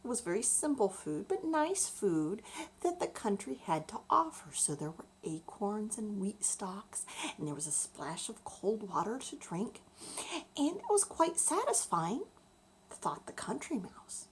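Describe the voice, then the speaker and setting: A woman telling a bedtime story to children, expressive and deliberate, explaining things as she goes. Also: mid-range voice, slight background noise.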